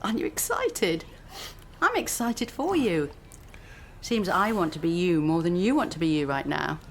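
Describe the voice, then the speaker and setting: A woman's voice: on the low side, sing-song, normal speed, gravelly, a little hiss or hum. An actress playing a chatty, eager university lecturer who teases her colleague in a radio drama. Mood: teasing, excited. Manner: chatty, teasing. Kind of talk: naturalistic radio drama acting.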